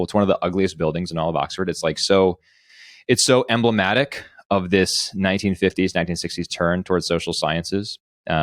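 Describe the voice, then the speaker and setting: A man's voice: very deep voice, no echo, studio quality. A man hosting a casual podcast, telling personal anecdotes in a discursive way, thinking aloud as he goes. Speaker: podcast host.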